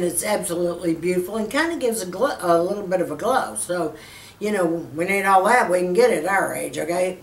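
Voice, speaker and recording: A woman's voice: on the low side, distant mic. Chatty Texas woman, roomy and off-mic. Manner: chatty, calls viewers girlfriend. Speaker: skincare vlogger. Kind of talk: casual Q&A to camera. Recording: echoey room, off-mic, faint hum.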